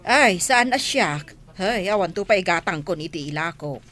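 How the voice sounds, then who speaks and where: A woman's voice: lowish voice, lively intonation, normal speed, a bit bright, soft background music. A woman playing a chatty villager in an Ilocano comedy radio drama, speaking with an Ilocano accent.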